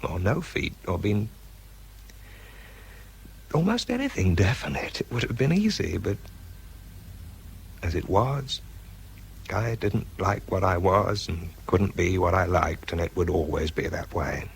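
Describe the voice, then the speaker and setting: An actor playing a weary, self-doubting British man who narrates his own story in a radio drama, reflective and halting, with a gravelly, husky voice. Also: sits low, expressive, slight background noise, exaggerated voice. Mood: bitter, resigned.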